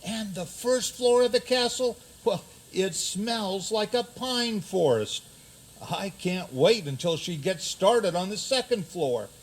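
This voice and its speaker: A courtly Prince Charming played by a man defends himself in radio comedy. He speaks slowly in a high, animated voice and defers politely. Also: heavily acted. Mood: defensive. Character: deferential, self-justifying fairy-tale Prince Charming. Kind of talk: community radio theater comedy acting.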